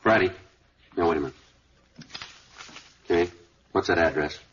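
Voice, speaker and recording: An actor playing a businesslike, unflappable American police sergeant in a 1950s radio drama, clipped, deadpan and matter-of-fact in dialogue and narration. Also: male voice, low voice, moderate pace, breathy edge. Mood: terse, factual. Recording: dull vintage radio recording, music behind.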